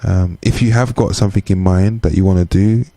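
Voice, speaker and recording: A British man speaking as a guest in a conversational radio interview, earnest and measured. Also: deep voice, steady tone, mellow, dry recording. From UK, born to Caribbean parents. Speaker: guest, community organisation chair.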